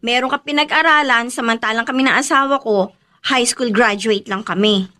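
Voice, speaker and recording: A woman's voice: a little high, normal speed, sharp tone. A Filipino woman acting a hard-up, skeptical younger sister in a radio drama, outspoken and exasperated. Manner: exasperated, pleading, dismissive.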